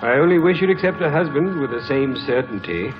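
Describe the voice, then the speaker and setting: Male actor as courteous manor gentleman, period radio play, lively pitch, muffled sound, faint music underneath. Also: a little fast, close to an everyday voice. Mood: polite. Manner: polite, genteel, expressive. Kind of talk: 1940s radio drama acting. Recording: dull old radio broadcast, faint music.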